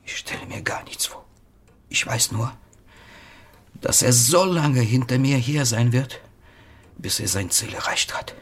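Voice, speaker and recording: An actor playing an agitated patient from Croatia in a radio play, insistent and excitable, convinced that Death is hunting him. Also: male voice, medium pitch, a little slow, pauses often, breathy edge. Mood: haunted, intense. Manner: insistent, excitable, dropping into whispers.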